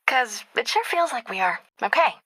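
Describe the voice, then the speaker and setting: An actress with an American accent playing a caring, determined young reporter in a video game, speaking in an earnest, conversational, confiding way. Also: female voice, on the high side, very animated, moderate pace, pushed and bright, slightly rough, no echo, exaggerated voice. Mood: light, warm. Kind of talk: naturalistic video game acting.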